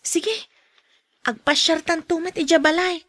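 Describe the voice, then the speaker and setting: Radio drama ingenue played by a woman: polite, modest Ilocano daughter, very high voice. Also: fairly even intonation, a little slow, fairly bright tone, dry recording. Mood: modest, shy. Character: polite, modest young working daughter, shyly courted.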